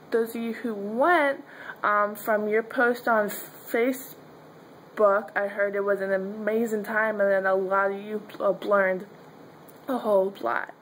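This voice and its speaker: A woman's voice: mid-range voice, a little hiss or hum, poor audio. A young woman who stutters, with blocks, repeated sounds and fillers, talking casually to her viewers in a video diary from a dim bedroom. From Pennsylvania, US. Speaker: vlogger. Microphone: webcam or phone held close.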